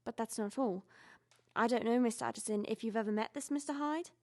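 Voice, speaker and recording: A woman's voice: a little high, a little fast, a little mellow, natural voice. A young woman playing a loyal, frightened longtime household servant in a Victorian audio drama, earnest and deferential, telling things with emphasis. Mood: uneasy, hushed. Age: young adult.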